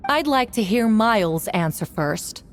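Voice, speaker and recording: A fierce woman knight from a game cutscene. She speaks at a middling pitch with theatrical, taunting defiance and a sly edge. There is faint noise behind her. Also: animated, measured pace. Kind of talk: dramatic game cutscene acting.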